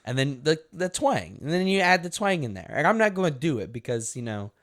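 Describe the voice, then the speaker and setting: A man's voice: on the high side, sing-song, brisk, fairly bright tone. A young man co-hosting a casual podcast, chatting loosely, laughing often and restarting his sentences. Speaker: podcast co-host. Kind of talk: casual chat.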